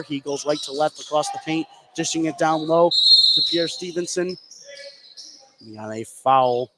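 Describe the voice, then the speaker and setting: A man giving live radio play-by-play of a basketball game, following the action in clipped running phrases. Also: a little high. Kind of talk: live radio sports commentary. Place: noisy indoor basketball gym. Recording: live broadcast with clear background noise.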